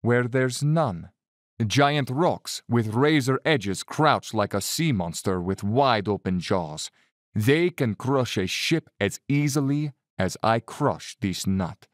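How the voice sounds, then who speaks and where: An actor playing a suave, cold-blooded Cossack general who loves the hunt, speaking with urbane condescension in an audio drama. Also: male voice, mid-range voice, animated, no echo, clean studio sound. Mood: casual menace. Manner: urbane, bland, condescending, theatrically unhurried. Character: suave, cold-blooded aristocratic Cossack general, fanatical big-game hunter.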